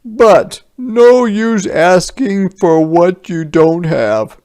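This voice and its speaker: A man voicing crafty, cajoling soldiers from a children's storybook, in a coaxing, emphatic, drawn-out way. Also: high voice, darkish tone, voice pushed up, exaggerated voice. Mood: wistful coaxing. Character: crafty, cajoling soldiers angling for soup ingredients.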